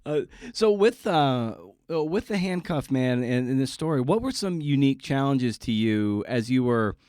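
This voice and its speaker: An American man hosting a casual conversational interview, speaking in a halting way and often repeating words as he works toward his point. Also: medium pitch, expressive, darkish tone, smooth, dry recording, clean studio sound. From Massachusetts, United States. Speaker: podcast host interviewing a writer.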